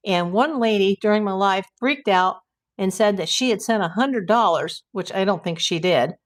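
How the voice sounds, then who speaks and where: A woman hosting a casual livestream, hoarse and losing her voice, rambling in exasperation while she reads viewers' comments. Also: mid-range voice. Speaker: YouTube livestream host.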